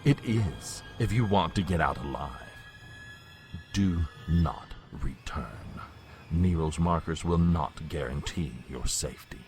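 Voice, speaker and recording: A man's voice: a bass voice, animated, unhurried, a little mellow, faint music behind. An actor playing a suave, menacing vampire lord in an audio drama, mock-polite and theatrical, drawing out his taunts. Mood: smug, threatening.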